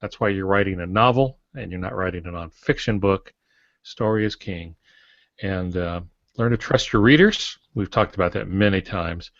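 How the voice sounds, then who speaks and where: A man's voice: low voice, normal speed, dry recording. A man co-hosting a podcast, giving casual writing instruction in an advisory way with short maxims. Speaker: podcast co-host and writing teacher. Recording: dry, dull lo-fi podcast audio.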